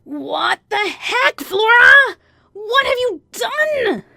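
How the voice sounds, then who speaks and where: Woman putting on a shrill, brazen mistress. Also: big pitch swings, moderate pace, gravelly, no room sound, clean studio sound, heavily acted. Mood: furious. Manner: shouting, defensive. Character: shrill, indignant, brazen adulterous woman, former best friend.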